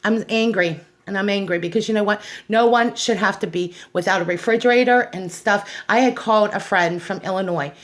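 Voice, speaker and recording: Middle-aged American female, mid-range pitch, for a raw unscripted testimonial; outspoken, aggrieved, emphatic delivery with repetition for stress. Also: a little fast, hardly any pauses. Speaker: host of a personal awareness show. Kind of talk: unscripted personal monologue, testimonial.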